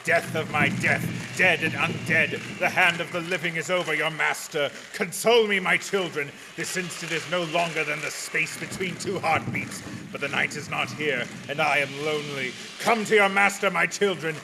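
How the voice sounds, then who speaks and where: An imperious, seductive vampire master in a radio horror play. The voice is creaky and somewhat high, intoning slow commands like spells. The recording has clear background noise. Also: male voice. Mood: urgent summoning. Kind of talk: radio horror drama acting.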